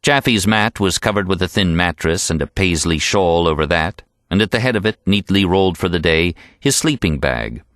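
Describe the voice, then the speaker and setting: A middle-aged American man reading a novel aloud as an audiobook narrator, articulate and measured. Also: sits low, lively intonation, a bit bright, dry recording, studio quality.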